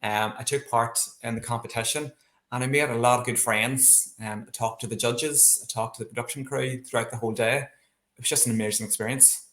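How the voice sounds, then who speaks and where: A man's voice: mid-range voice, steady tone, fairly quick, fairly bright tone. Chatty Northern Irish man in an echoey room. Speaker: interview guest, landscape painter. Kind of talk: casual interview, personal storytelling. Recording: echoey, roomy sound.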